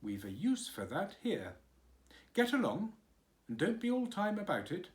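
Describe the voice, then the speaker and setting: Male reader as old nurse, bossy and sharp, raised voice. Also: high-pitched, huge pitch swings, voice pushed up, full character voice. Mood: brisk. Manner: brisk, sharp and scolding. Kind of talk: storybook reading.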